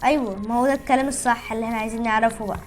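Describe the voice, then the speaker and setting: Woman, Egyptian Arabic, high voice, rapid-fire delivery, whiny competitive game banter, pleading. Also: steady tone, faint music behind. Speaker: gaming channel host. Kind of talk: casual bantering gameplay commentary.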